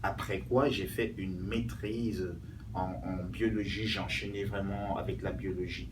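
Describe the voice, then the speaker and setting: A man's voice: sits low, little pitch movement, unhurried. A man, answering interview questions as a doctoral student, thoughtful and hesitant with many fillers. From Cameroon. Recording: echoey room, distant mic, noise.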